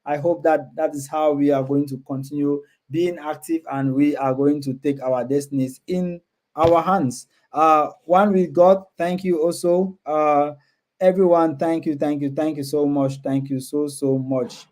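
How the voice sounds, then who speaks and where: A man's voice: fairly high pitch, fairly even intonation, a little slow, a little mellow. A man hosting a casual livestream, talking to viewers and often repeating his words and phrases. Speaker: live stream host. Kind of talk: casual livestream chat, reading and answering viewer comments.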